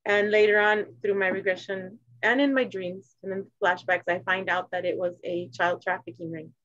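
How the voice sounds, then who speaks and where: A woman from Arizona, a guest in a casual talk, telling her story in a halting way with many restarts. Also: medium pitch. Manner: halting, restarts, animated when quoting others. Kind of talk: casual personal conversation. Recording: dry, dull lo-fi sound.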